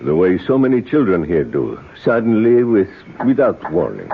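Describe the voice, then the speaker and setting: An actor playing a grieving native man in a radio drama, outwardly polite and secretly vengeful, speaking in slow, deliberate, formal phrases. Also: low-pitched, huge pitch swings, exaggerated voice. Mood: solemn, persuasive. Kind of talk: 1970s radio drama acting. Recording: noisy, dull old radio broadcast.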